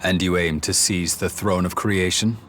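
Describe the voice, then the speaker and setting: An actor voicing a stern, guarded young warrior in a video game, speaking in a blunt, clipped way. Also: male voice, low-pitched, steady tone, moderate pace, a bit bright, slight background noise. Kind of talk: video game cutscene voice acting. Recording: game audio with music behind.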